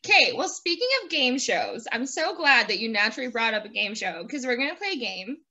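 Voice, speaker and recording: Bright, high-pitched woman, playful chat. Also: animated. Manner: playful, quick to laugh. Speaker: host interviewing a guest. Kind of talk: casual chat and quick-fire questions. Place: remote video call.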